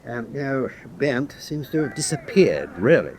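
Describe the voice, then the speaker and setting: An actor playing a hard-nosed, cynical officer in a wartime radio drama, blunt and skeptical. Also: male voice, medium pitch, big pitch swings, moderate pace, a little hiss or hum, natural voice. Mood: businesslike.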